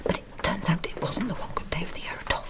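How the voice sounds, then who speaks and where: An actress playing Juliet, a teenage schoolgirl, who talks in a gushing, fretful way and often drops to a hush. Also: fast, whispered, close to an everyday voice. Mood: whispered. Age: teens. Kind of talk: radio drama acting.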